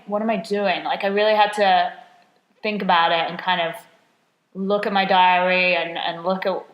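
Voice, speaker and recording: A woman co-hosting a casual podcast chat as a nutrition coach, chatty and self-deprecating, often laughing at herself. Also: mid-range voice. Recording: roomy echo.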